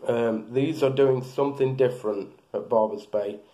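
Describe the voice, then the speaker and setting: A man's voice: medium pitch, moderate pace, mellow, lo-fi sound. A man in his thirties casually reviewing beard products, rambling with lots of fillers and restarts, filmed close in a small room.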